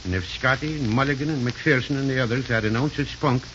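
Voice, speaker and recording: A man's voice: mid-range voice, fast, close to an everyday voice. An actor playing a feisty, aggrieved Irish father in a 1930s radio drama, blustery and sarcastic. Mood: bitter. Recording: noisy, hissy 1930s radio recording, dull.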